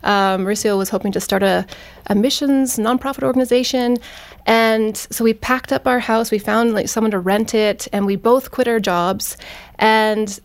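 A woman in her thirties, a teacher and guest, telling her story in a quick, chatty way. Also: fairly high pitch. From Canada. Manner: quick, lively, chatty with fillers. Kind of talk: casual storytelling interview.

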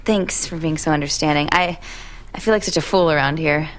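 A woman's voice: low voice, a little fast, lightly acted. An actress playing a chatty, flirtatious but evasive single woman in an audio drama, with a coy, teasing manner. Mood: apologetic, confiding. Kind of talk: naturalistic audio drama acting.

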